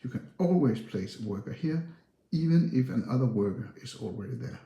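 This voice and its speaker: A man presents the rules of a board game step by step. His voice is soft-edged and mellow, with very varied intonation. The recording has a clear room echo. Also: medium pitch, animated, brisk, highs cut off. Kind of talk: instructional narration, explaining game rules. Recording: roomy, echoey sound.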